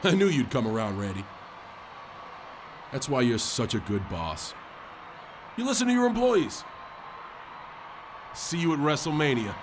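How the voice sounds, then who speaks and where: A man's voice: medium pitch, sing-song, a little slow, pauses often, noisy background. A man playing a smug, sarcastic wrestler in a video game cutscene. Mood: smug sarcasm. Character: smug, wisecracking male wrestler.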